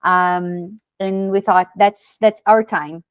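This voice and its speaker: A woman in her thirties from Brazil with a Brazilian Portuguese accent, a podcast guest telling her story in casual, animated chat. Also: fairly low pitch, takes it slowly, clean tone, dry recording. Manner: many fillers and restarts, animated storytelling.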